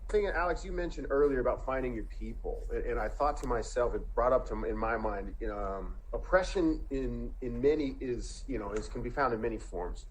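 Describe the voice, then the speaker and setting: A man's voice: mid-range voice, a little fast, few pauses, a little hiss or hum, poor audio. A man in his forties, a coach speaking on a panel, talking earnestly and reflectively, with frequent restarts. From Montana. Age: 40s. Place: indoor room, video call.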